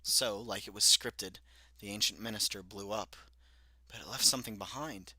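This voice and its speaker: A man's voice: fairly low pitch, normal speed, sharp tone, breathy edge, dry recording. A young man reading aloud from a story he wrote as a child, laughing at it and commenting as he goes. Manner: self-deprecating, laughing at his old writing. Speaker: reader of his own childhood story. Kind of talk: solo read-aloud with commentary.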